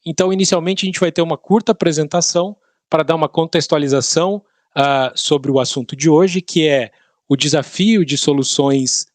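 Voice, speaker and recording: A man of around forty with a Brazilian Portuguese accent, hosting an online tech event in a relaxed, informal way and asking viewers for quick okays. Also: fairly high pitch, no echo. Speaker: webinar host.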